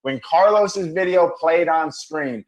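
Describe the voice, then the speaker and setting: A man's voice: fairly high pitch, takes it slowly. A man hosting a live online dating show over video call, with hyped, bro-ish banter.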